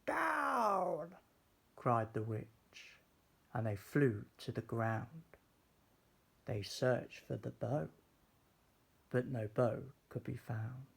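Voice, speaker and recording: A man narrates a children's story with measured, dramatic expression. His pace is very slow and his voice has a creaky, husky grain, at a middling pitch. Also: fairly even intonation, a little mellow. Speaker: storybook reader.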